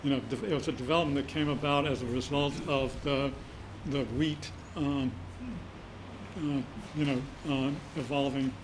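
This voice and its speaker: Man, mid-pitched voice, meandering, hesitant, faint room noise. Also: fairly even intonation, normal speed. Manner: meandering, hesitant, full of fillers. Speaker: audience member. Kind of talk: casual question from the audience. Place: community room.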